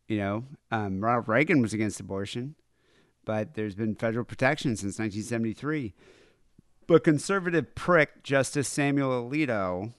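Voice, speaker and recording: A young man co-hosting a podcast, chatting sarcastically about politics, swearing and halting with fillers. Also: fairly low pitch, a bit bright, dry recording, studio quality. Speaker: podcast co-host. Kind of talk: casual political chat.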